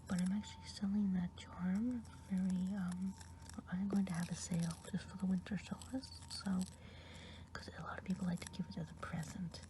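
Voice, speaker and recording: A woman playing a kindly good witch healer in an ASMR roleplay, speaking in a soft, soothing whisper. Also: lowish voice, little pitch movement, normal speed, background noise, lightly acted.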